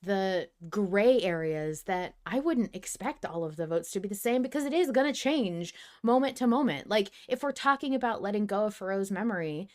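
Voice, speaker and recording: A woman's voice: medium pitch, animated, moderate pace, hardly any pauses, darkish tone. Female podcast host, mid-range voice, informal conversational discussion. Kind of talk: casual discussion of a fiction podcast.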